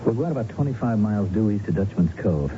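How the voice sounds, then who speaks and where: A man's voice: lowish voice, animated, fast talker, noisy background, lightly acted. An actor in a radio drama playing a breezy, cynical smuggler who coaxes and needles his old college friend. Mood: businesslike, sly. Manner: glib, coaxing, needling. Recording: dull radio recording with music behind.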